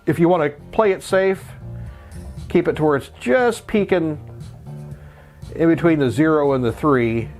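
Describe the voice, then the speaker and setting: A man's voice: fairly high pitch, unhurried, long pauses, a little mellow. A middle-aged man hosting a casual how-to tutorial, explaining things plainly, step by step. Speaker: host of a vintage electronics tutorial channel. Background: music.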